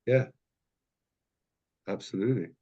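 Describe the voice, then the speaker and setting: A man with a fairly low voice leads an adult study class. He talks in a halting way, full of restarts and self-corrections, as he works through an idea out loud. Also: dull audio. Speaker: class teacher. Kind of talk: teaching a text study class.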